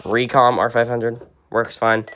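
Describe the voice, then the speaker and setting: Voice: medium pitch, level tone, unhurried, a bit bright. A person casually showing off a radio collection unscripted, giving short, blunt verdicts in an offhand way. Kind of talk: casual unscripted show-and-tell. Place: indoors at home, among shelves and tables of radios.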